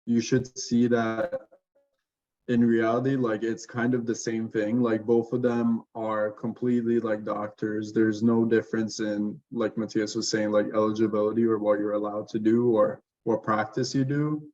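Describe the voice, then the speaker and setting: A young man from Sweden chats casually in an online session and fills his sentences with "like." His voice sits a little low and flat. The audio sounds dull and lo-fi. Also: normal speed. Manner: casual, with lots of "like" filler. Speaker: medical student and mentor. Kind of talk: casual webinar talk.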